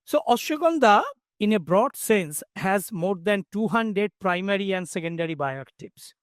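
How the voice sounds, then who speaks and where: Male expert panelist, high-pitched, halting emphatic explanations, dry acoustic. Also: lively intonation, no room sound. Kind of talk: explanatory webinar answers.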